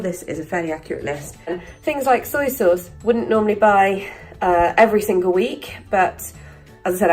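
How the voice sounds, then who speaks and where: A young woman talks to her viewers at a mid-range pitch, her voice croaky from a sore throat and thick with congestion. She rambles chattily, filling pauses with ums as she works through her numbers out loud. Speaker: vlogger. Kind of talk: casual chat to camera.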